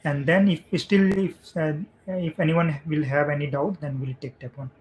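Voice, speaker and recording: A man hosts an online meetup in a halting, informal way. He gives casual instructions to attendees, and there is faint noise on the meeting audio. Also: a little high, steady tone, low-quality recording. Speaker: meetup host.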